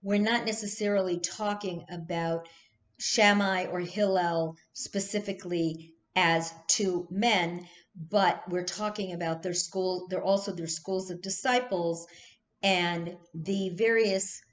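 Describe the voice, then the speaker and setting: Slow, thoughtful woman teaching a text. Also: on the low side, fairly even intonation. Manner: slow, thoughtful, with frequent ums and restarts. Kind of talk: online text study lesson.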